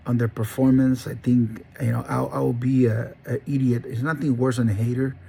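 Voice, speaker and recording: A middle-aged man speaks in a gravelly, husky voice with a dark, mellow tone. He talks earnestly and rambles, restarting his sentences as he tells his own story. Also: mid-range voice, level tone, moderate pace. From Honduras. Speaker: dog breeder and channel host. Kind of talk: personal monologue.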